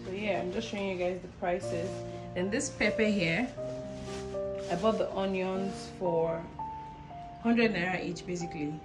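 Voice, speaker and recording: A woman with a Nigerian accent chatting casually in her home kitchen as a cooking vlogger, pausing with "um" as she recalls prices. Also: lowish voice, steady tone. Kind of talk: casual on-camera chat. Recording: loud background music.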